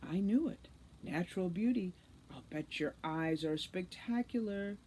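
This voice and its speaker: A woman's voice: fairly low pitch, a little slow, warm tone, husky. A woman reading a storybook, voicing a wily, defiant captive fairy officer. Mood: coaxing flattery. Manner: wary, turning coaxing or threatening.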